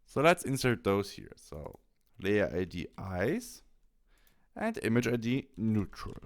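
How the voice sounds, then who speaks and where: A man presenting a software tutorial, explaining step by step in a halting instructional walkthrough. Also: on the low side, big pitch swings. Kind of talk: instructional screen-capture walkthrough.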